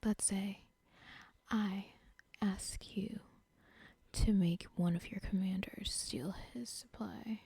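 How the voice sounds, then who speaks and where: A scheming cartel boss , voiced by a woman, plots aloud in a close, breathy whisper for an ASMR roleplay. The whisper is husky with a gravelly edge, and every word is drawn out slowly. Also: medium pitch, steady tone, warm tone, no echo, full character voice.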